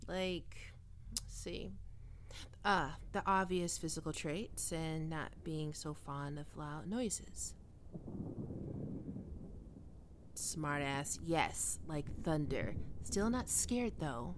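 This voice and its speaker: A woman's voice: sits low, expressive, slow pace, a little hiss or hum. Casting: a woman to play a defensive young half-vampire catgirl for ASMR roleplay. Swooping intonation, stammering bluster, noticeable background ambience. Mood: snarky, defensive. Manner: hesitant, stammering, teasing, tsundere bluster.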